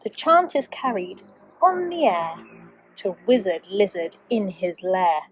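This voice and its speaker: A woman's voice: medium pitch, sing-song, unhurried, a little hiss or hum, rough recording. A woman, a teacher, reading a children's storybook aloud expressively to young children.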